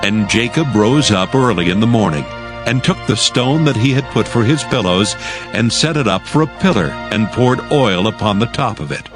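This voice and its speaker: A man's voice: on the low side, animated, moderate pace. A man narrating scripture formally as a storyteller from a script. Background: music.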